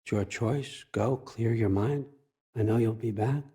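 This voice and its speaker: A man's voice: on the low side, measured pace, mellow, husky. An older American man acting in a video game as a scheming, controlling old father god, wry, folksy and conversational as he manipulates. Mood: quiet, controlled.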